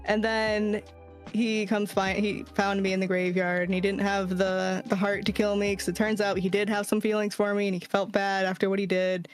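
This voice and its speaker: A woman's voice: mid-range voice, little pitch movement, normal speed, clear, resonant tone, soft background music. A woman with a Boston accent, a guest chatting casually about her character's backstory, full of fillers and casual swearing. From near Boston, US East Coast. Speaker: guest, VTuber.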